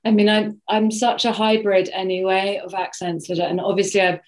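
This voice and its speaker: A woman's voice: mid-range voice, little pitch movement, normal speed. A woman from New Zealand with a British RP accent, an actress thoughtfully answering interview questions and searching for her words. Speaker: actress guest.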